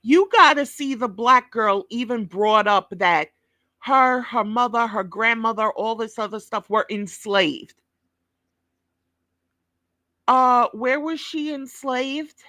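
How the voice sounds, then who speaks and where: Woman commentator, scolding and profane, slow deliberate delivery, dry close sound. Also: a little high, pushed and bright, no room sound. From New York. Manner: blunt, scolding, heavy swearing. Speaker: commentator reacting to a clip.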